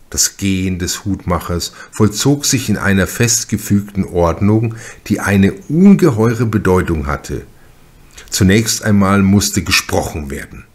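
A man reading a crime novel aloud as a narrator, in a measured and deliberate storytelling manner. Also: low-pitched. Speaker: audiobook narrator.

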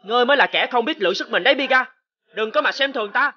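A man's voice: very high voice, very fast, lo-fi sound. A man voicing a small, plucky young boy in a fairy-tale cartoon, earnest and defiant in manner. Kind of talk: animated fairy-tale acting.

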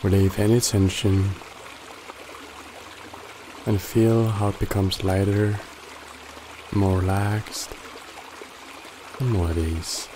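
A man guiding a body scan meditation in a calm, gently instructive way with long pauses. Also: lowish voice, a little hiss or hum. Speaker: meditation guide. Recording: loud running water behind the voice. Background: trickling stream water ambience.

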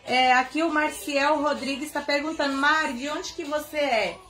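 A woman's voice: sits high, fairly even intonation, moderate pace, a bit bright, faint music behind. A young woman, chatting casually on a live stream from a bedroom, greeting viewers by name in an affectionate way, recorded on a phone held at arm's length. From Bahia, Brazil. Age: young adult. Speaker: singer hosting a live stream. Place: bedroom indoors, seated on bed. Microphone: phone camera at arm's length.

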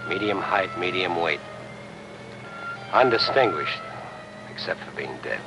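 Deep-voiced American hard-boiled reporter. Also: male voice, expressive, unhurried, poor audio. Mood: grim, brooding. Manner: terse, clipped phrasing. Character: world-weary, persistent male newspaper reporter. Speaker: lead actor. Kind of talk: 1950s crime drama acting, hard-boiled narration. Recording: 1950s TV film soundtrack, loud music.

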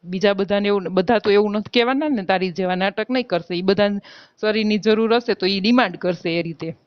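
A woman's voice: medium pitch, fairly quick, no room sound, close to an everyday voice. A woman playing an aggrieved married village woman in a phone-call drama, with a Gujarati accent, insistently accusing and threatening her lover. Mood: reproachful. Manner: insistent, reproachful, argumentative. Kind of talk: staged phone-call drama in colloquial speech. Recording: dull, lo-fi phone call recording.

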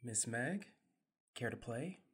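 An actor playing an earnest, soft-spoken young male tutor in an audio drama, formal and polite. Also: medium pitch, lively intonation, slow, slightly rough, heavily acted. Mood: gentle. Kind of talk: radio play acting.

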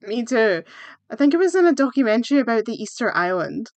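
A woman's voice: a little high, big pitch swings, fairly quick, no echo. A woman voicing a haughty, self-assured teenage schoolgirl in an amateur comic fandub. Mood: cool, superior.

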